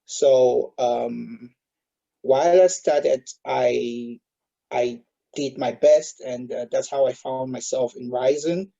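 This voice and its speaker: A man's voice: medium pitch, gravelly, mellow, dull audio. A man in his thirties from Cameroon, a guest in an online interview, speaking hesitantly with many filler sounds. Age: 30s. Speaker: guest, solar industry expert.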